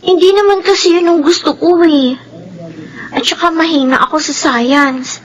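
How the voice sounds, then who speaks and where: Plaintive, high-voiced young schoolgirl. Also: steady tone, normal speed, highs cut off. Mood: glum, confiding. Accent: Filipino. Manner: plaintive, pleading. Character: timid, unhappy young high school girl, reluctant daughter. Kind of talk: Filipino radio drama acting.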